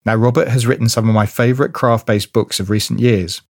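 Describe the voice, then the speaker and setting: A man's voice: mid-range voice, steady tone, a little fast, darkish tone, no room sound, clean studio sound. A man hosting a podcast, moving between scripted introduction and conversational interview questions. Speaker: podcast host.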